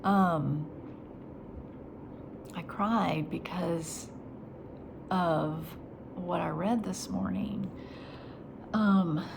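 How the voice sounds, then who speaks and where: A woman talking in a reflective faith vlog, soft and halting, her voice nasal after crying. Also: fairly low pitch, creaky. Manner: soft and halting, slipping into whispers. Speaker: vlogger. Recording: steady background noise.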